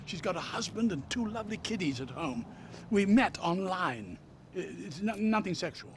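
A man's voice: high-pitched, animated, moderate pace, a little hiss or hum. An actor playing a fawning, eccentric British man, an obsessive celebrity fan who gushes and stammers, in a comic game cutscene. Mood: awkward affection. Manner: gushing, stammering, awkward. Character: fawning, eccentric middle-aged British male celebrity stalker.

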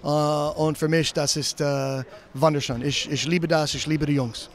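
A middle-aged head coach, an English speaker giving a post-game interview in halting German that slips into English phrases, recorded on a handheld mic in an indoor arena. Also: male voice, fairly high pitch, steady tone, a little hiss or hum. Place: indoor arena, in front of a sponsor wall.

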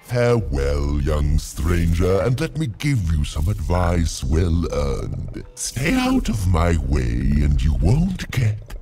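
An actor playing a pompous, touchy, scheming mayor in a video game, theatrical and fond of clumsy rhymes. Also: male voice, low-pitched, wildly swooping pitch, slow pace, few pauses, mellow, exaggerated voice. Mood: scheming, smug. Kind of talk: video game character acting. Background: game music.

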